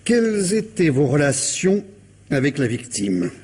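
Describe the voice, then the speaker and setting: An actor playing a probing, sceptical police commissioner in a radio mystery drama, formal, ironic and insistent. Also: male voice, on the high side, big pitch swings, unhurried, warm tone, breathy edge, full character voice.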